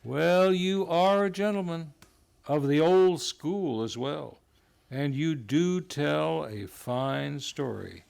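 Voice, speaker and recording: Guy as old time radio announcer, slow and gravelly, highish voice, polite and formal. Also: darkish tone. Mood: courteous, teasing. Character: courteous, gently teasing radio host, Mister Nelson. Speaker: host and announcer.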